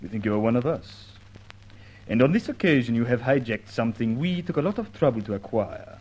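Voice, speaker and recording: A man's voice: mid-range voice, animated, a little mellow, slightly rough, lo-fi sound. A man playing a coolly polite, menacing crook with stilted, formal phrasing in an old-time radio detective drama. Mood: cold. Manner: stilted, formal, measured threats.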